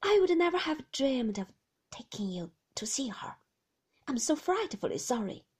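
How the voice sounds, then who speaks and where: A woman's voice: high-pitched, big pitch swings, moderate pace, a little mellow, breathy edge, voice pushed up, full character voice. A woman voicing an upper-class sister-in-law who speaks in gushing, emphatic exclamations. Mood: dismayed.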